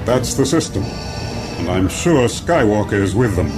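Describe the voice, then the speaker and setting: An actor playing a commanding dark lord, a formal and menacing storybook villain. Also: male voice, lowish voice, big pitch swings, normal speed. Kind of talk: storybook read-along villain acting. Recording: loud music behind the voice.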